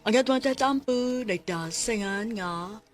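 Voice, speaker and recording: A woman's voice: fairly high pitch, a little slow, noisy background. A woman with a Cebuano accent presenting a radio drama in scripted narration. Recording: loud music behind the voice.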